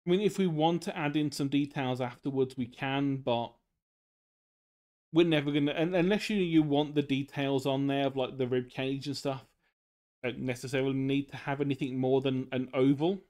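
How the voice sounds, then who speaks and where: A man's voice: mid-range voice, fairly even intonation, normal speed, darkish tone. A young man casually explaining drawing on a live stream, in rambling, loose sentences full of fillers.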